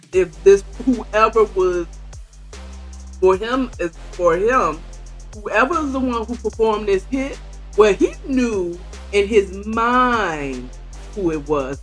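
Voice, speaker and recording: A woman with an American accent giving casual book commentary in a conversational way, asking rhetorical questions as she retells the story. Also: medium pitch. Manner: conversational, acts out dialogue, asks rhetorical questions. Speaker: podcast host reviewing a book.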